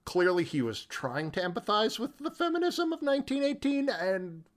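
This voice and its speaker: A man co-hosting a podcast, chatting casually about film in an animated, halting way full of informal asides. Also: sits high. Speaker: podcast co-host.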